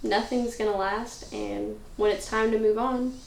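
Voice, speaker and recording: A woman reading the part of a reflective, hopeful high school cheerleader in a table read. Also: fairly even intonation, unhurried, gravelly. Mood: earnest, reassuring. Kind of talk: cold table read of a film script. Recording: roomy echo with faint noise.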